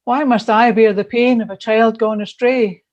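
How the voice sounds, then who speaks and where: A woman's voice: on the high side, fairly even intonation, normal speed, a little mellow, highs cut off. A woman reading a scripted church devotional in an emphatic, earnest manner.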